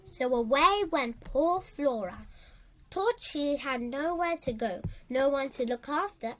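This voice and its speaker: A young child reads a storybook aloud in a high voice that swoops expressively. The pace is slow and halting, with words sometimes repeated or corrected. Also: lively intonation, low-quality recording. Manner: halting, stumbles and rereads words. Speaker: storybook reader.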